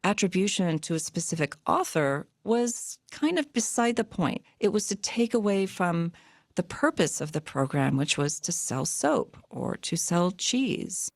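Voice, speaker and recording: A woman's voice: lowish voice, sing-song, unhurried, no room sound, clean studio sound. A woman author being interviewed, explaining her subject in a thoughtful way with the odd filler.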